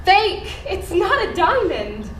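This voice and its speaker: A woman's voice: very high-pitched, sing-song, slow pace, exaggerated voice. An actress playing an urbane, indecisive, pretentious woman in her thirties in a stage play, with an intellectual, theatrical manner. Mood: hesitant. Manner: theatrical, intellectual, sprinkles in French. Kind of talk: live stage play acting. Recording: echoey theatre stage recording, room noise.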